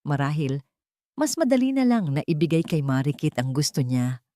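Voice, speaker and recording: A woman narrating a scripted, dramatic audio story in measured, deliberate phrases. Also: sits low, sing-song, warm tone, dry recording, clean studio sound.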